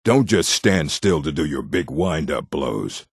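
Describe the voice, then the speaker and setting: A proud, gruff orc warrior from a video game. The voice sits extremely low and is thick with gravel. He speaks bluntly and with command, his pitch moving expressively, in a very dry, close sound. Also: sing-song, measured pace, dry recording, heavily acted. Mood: gruff, instructive. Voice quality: gravelly, husky. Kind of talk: scripted video game character lines.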